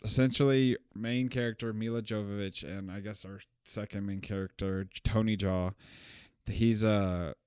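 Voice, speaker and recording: Young male podcast co-host, casual film chatter, smooth lowish voice, dry close mic, loose rambling delivery. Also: steady tone, normal speed, darkish tone, dry recording. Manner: rambling, self-correcting, laughs at own jokes. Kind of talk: casual film review chat.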